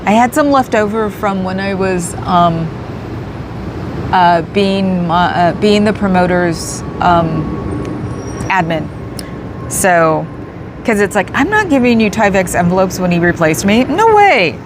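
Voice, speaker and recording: A woman's voice: medium pitch, lively intonation, unhurried, noisy background. A woman chatting casually on a livestream, thanking viewers by name and laughing often. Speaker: livestream host. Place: in a parked car outdoors.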